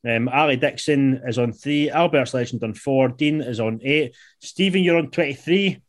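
A man's voice: mid-range voice, moderate pace. A man in his late twenties with a Scottish accent, hosting a quiz in casual football podcast banter. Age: late 20s. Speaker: podcast producer and quiz host.

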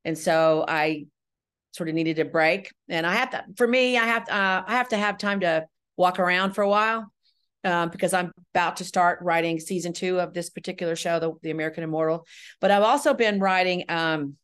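A woman with a slightly low voice speaks as a podcast guest. She chats casually and haltingly, with a modest, self-deprecating air. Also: a bit bright, smooth. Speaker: podcast guest, writer-director. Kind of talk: casual chat.

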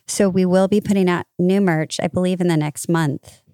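Female co-host, mellow and clean tone, very dry recording, casual but emphatic conversational style. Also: fairly low pitch, fairly even intonation, moderate pace, clear, resonant tone, no room sound. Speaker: podcast host. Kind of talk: casual chat.

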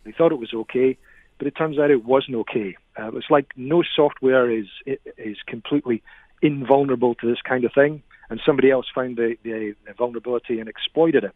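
A man's voice: medium pitch, fairly quick, no echo. A man with a Scottish accent, a cybersecurity expert explaining things emphatically as a phone-in guest. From Scotland. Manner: emphatic, repeats key phrases. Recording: tinny phone line.

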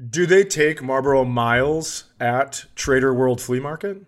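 A man's voice: mid-range voice, measured pace. A man hosting a podcast with casual, sarcastic comedic banter. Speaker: podcast host.

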